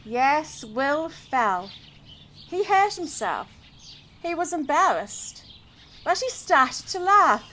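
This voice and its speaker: Female storybook reader, high pitch, lively intonation, expressive, engages listeners, birdsong behind. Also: takes it slowly, long pauses. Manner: expressive, asks the listener questions. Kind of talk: children's story narration. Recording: outdoor background noise with birdsong.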